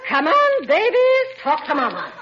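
A woman's voice: very high-pitched, huge pitch swings, unhurried, very noisy, exaggerated voice. A woman playing a genteel, affected society matron with precise diction and a gleeful streak, in 1940s radio comedy style. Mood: gleeful, excited. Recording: dull old radio recording, studio audience.

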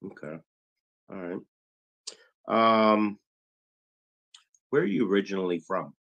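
A middle-aged man from Chicago hosting a casual interview, talking in an unhurried way with lots of ums. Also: on the low side, expressive, vocal fry, a bit bright, muffled recording. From Chicago, USA. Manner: slow, unhurried talker with frequent ums. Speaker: podcast host.

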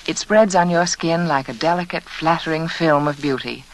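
A woman's voice: deep voice, normal speed. A woman reading a scripted 1940s radio advertisement as hostess, earnest, polished and persuasive. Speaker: radio hostess and sponsor spokeswoman. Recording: noisy vintage 1940s radio recording.

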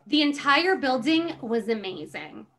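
A woman's voice: high-pitched, lively intonation, unhurried, fairly bright tone. A woman hosting a podcast, giving a dramatic book reading with casual, amused asides and theatrical emphasis.

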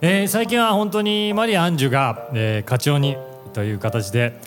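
A man's voice: fairly high pitch, fairly even intonation, normal speed. A man hosting a live stage event, chatting politely and prompting others as he gives greetings. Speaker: event host and radio drama actor. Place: public event stage in a shopping complex. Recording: live event PA with music behind.

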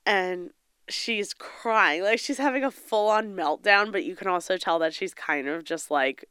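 A young woman co-hosts a casual chat, her nose a little stuffed up from a cold. She giggles often and gets animated telling stories. Her voice is smooth and clean, recorded close and dry. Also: medium pitch, measured pace, no room sound. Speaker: podcast co-host.

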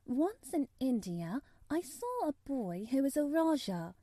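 A woman's voice: high voice, big pitch swings, unhurried, warm tone, no echo, clean studio sound, voice pushed up. A woman voicing a frank, self-willed young girl who speaks earnestly and candidly in a storybook reading.

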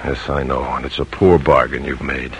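An actor playing a resolute, middle-aged colonial patriot husband with a husky voice, earnest and gentle, in an old-time radio drama. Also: male voice, very deep voice, level tone, normal speed, slight background noise. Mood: tender, pleading.

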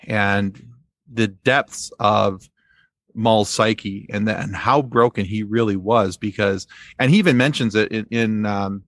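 A man speaking as a podcast guest in casual fan discussion, haltingly repeating phrases as he explains. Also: lowish voice, fairly bright tone, no echo, studio quality.

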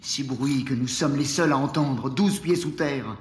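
Man playing a slow, menacing dungeon prisoner. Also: mid-range voice, roomy sound, slight background noise, close to an everyday voice. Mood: obsessive, insistent. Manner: insidious, insistent, halting with repeated phrases. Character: taunting, death-obsessed male prisoner of war in a Roman dungeon. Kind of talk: audio fiction acting.

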